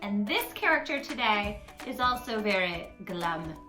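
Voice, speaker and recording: A woman reading a story aloud to young children in an animated, affectionate, coaxing manner, speaking straight to the listeners. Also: medium pitch, unhurried, roomy sound, faint music behind. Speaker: children's story reader, tutor.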